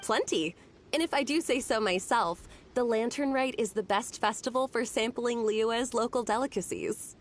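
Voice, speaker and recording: A woman's voice: on the high side, normal speed, a little hiss or hum, close to an everyday voice. A woman voicing a friendly, chatty townswoman who explains things helpfully in a video game. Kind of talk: video game character dialogue. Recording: music behind the voice.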